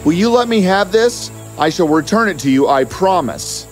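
Man playing an eager, high-pitched young hero. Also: expressive, normal speed. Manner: earnest, exclamatory. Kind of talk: animated fairy tale dialogue. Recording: music behind the voice.

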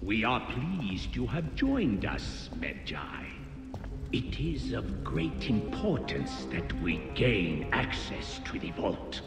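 An actor playing a menacing, formal cult leader in a dramatic video game, coldly commanding. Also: male voice, fairly low pitch, wildly swooping pitch, slow pace, vocal fry, heavily acted. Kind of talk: dramatic game villain acting. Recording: echoing hall with game music behind.

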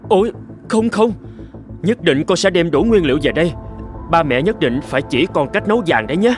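Actor as an earnest, high-pitched cartoon husband. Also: male voice, animated, fairly quick, faint music behind, lightly acted. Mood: eager, dramatic. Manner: exclamatory, earnest. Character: eager, gullible husband dreaming of gold, later hardworking. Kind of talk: cartoon voice acting.

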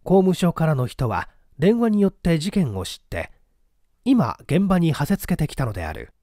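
A man's voice: a little high, very animated, normal speed, warm tone, dry recording, clean studio sound. A man narrating a literary story as a dramatic scripted audiobook reading. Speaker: audiobook narrator, stage actor.

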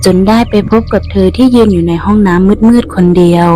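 A woman's voice: mid-range voice, fairly quick, dark tone, faint music behind. A woman reading a scripted ghost story as its narrator.

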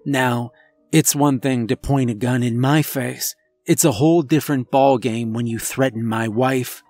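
A man voicing a remorseful werewolf husband and father confessing in a grave, halting, emotional horror story. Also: medium pitch, steady tone, darkish tone, no room sound. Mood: grim, intense. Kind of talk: dramatic first-person horror storytelling. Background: background music.

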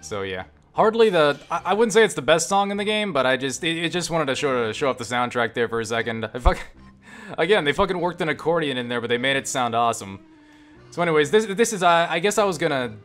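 A man's voice: fairly high pitch, lively intonation, fairly bright tone. Rambling man's casual game commentary over music. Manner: rambling, halting, often repeats and restarts phrases; profane, enthusiastic. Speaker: gamer and game reviewer. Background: game music and sound.

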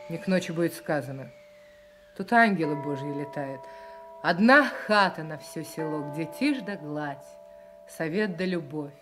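An actress playing a chatty older village woman in a radio drama, folksy and emphatic, by turns scolding and motherly. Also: on the low side.

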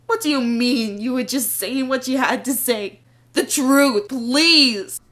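A woman's voice: high voice, slow pace, fairly bright tone. A woman playing a spirited young girl disguised as a newsboy in a fan audio drama, talking in an earnest, pleading way. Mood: demanding. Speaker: Brenna, called Trix.

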